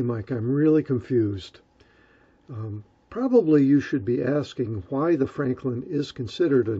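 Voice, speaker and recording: A man explains technical material in a dark, mellow voice with a gravelly, husky grain. The recording is slightly dull and lo-fi. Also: mid-range voice.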